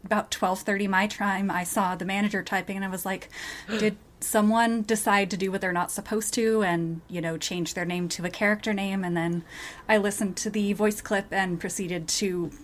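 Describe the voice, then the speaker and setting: A woman's voice: mid-range voice, steady tone, normal speed. A woman speaking as a podcast guest, telling things in a casual, conversational way. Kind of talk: casual chat, recounting.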